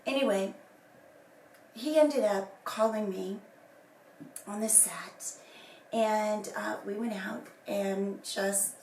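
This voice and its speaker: Hoarse, breathy woman, slow and rambling, recorded far off in a roomy space. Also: mid-range voice, fairly even intonation, darkish tone, slight background noise. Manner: rambling, many fillers and restarts. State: recovering from lost voice, hoarse and whispery. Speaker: person giving a personal account. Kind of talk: casual personal statement to camera. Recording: echoey room from a distance, faint ambience.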